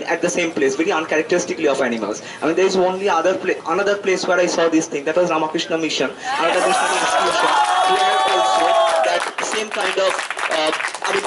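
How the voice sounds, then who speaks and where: A man in his twenties with an Indian accent gives a political speech as a student activist, halting and reflective, rephrasing in academic wording. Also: high voice. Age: 20s. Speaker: student activist giving a public address. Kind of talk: political speech, partly improvised. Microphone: handheld microphone held close to the mouth. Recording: distant mic, noisy live event sound.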